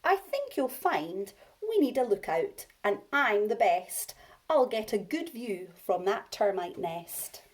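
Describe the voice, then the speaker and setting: A woman's voice: medium pitch, big pitch swings, slow. A woman voicing a mischievous young boy dinosaur in a storybook, boastful and teasing, crying out false alarms.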